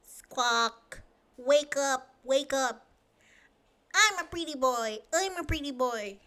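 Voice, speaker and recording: A woman voicing a noisy pet parrot with shrill squawking cries in a children's storybook reading. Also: expressive, takes it slowly, bright, forceful tone, full character voice.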